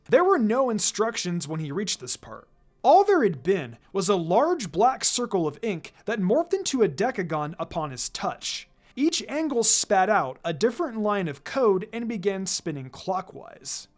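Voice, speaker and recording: An expressive storyteller reads fan fiction aloud in a medium-pitched voice. The delivery moves up and down in lively fashion, with music playing behind the narration. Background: background music.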